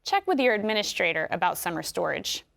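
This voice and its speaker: A woman with an American accent giving scripted instructions in a clear, measured way. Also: on the low side, very animated, fairly bright tone. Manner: clear, measured explanatory delivery. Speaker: instructional video narrator.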